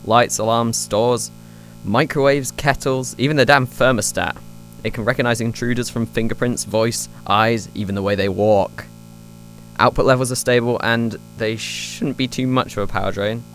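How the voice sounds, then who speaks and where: A man playing a pragmatic, slightly harried AI scientist in an audio drama, brisk and matter-of-fact in a natural style. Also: medium pitch, steady tone, a bit bright, faint music behind, natural voice. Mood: businesslike.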